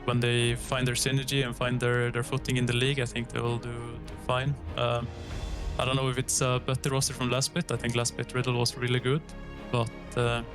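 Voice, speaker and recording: Flat-voiced man over a music bed. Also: medium pitch, fairly quick. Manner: hesitant, restarting answers. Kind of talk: interview clip. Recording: music playing underneath.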